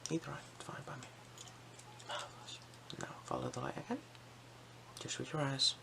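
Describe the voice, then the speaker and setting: An ASMR performer role-playing an eye examiner, giving gentle, unhurried, reassuring instructions in a breathy whisper mixed with soft speech. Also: mid-range voice.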